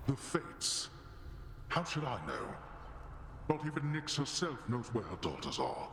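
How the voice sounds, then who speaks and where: A man playing a proud, gruff underworld god in a video game, commanding and indignant. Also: medium pitch, wildly swooping pitch, measured pace, fairly bright tone, heavily acted. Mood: angry defiance. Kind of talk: dramatic video game acting. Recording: echoey hall, faint noise.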